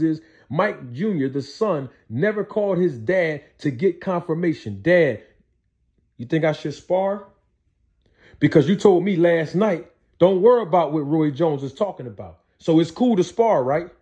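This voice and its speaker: A man's voice: fairly high pitch, expressive, unhurried, darkish tone, husky, lo-fi sound. A man giving explanatory storytelling commentary as a host, emphatic, repeating his points and posing rhetorical questions. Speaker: commentator hosting a boxing commentary show.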